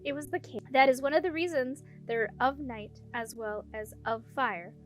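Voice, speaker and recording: A woman's voice: high-pitched, moderate pace, faint music behind, close to an everyday voice. A woman voicing a matter-of-fact female dragon in a storybook, a protective guide who instructs and reassures. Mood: reassuring.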